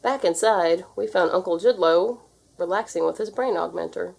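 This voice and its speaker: A woman reads a children's storybook aloud in an expressive, theatrical narrating style. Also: on the low side, moderate pace, gravelly, a little hiss or hum. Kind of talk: animated read-aloud narration.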